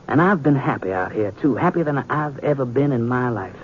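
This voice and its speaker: A man's voice: expressive, fairly quick, slight background noise, close to an everyday voice. A man acting a plain-spoken, determined young American sheriff in a 1950s radio western. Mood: earnest, wistful. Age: young adult. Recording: muffled, rumbly 1950s radio recording.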